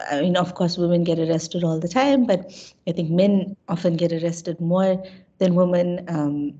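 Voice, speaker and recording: A woman's voice: fairly low pitch, mellow. A woman in her thirties with a South African accent and a slight nasal cold, answering thoughtfully on a video call with many fillers and restarts. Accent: South African English. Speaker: panelist, lecturer. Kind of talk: webinar panel answer. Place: room at home with bookshelves. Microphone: laptop webcam video call. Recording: dull lo-fi video call audio.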